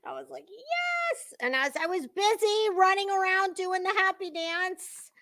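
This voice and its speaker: An American woman chatting casually as a livestream host, with a dramatic, drawn-out delivery. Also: extremely high pitch, expressive, sharp tone.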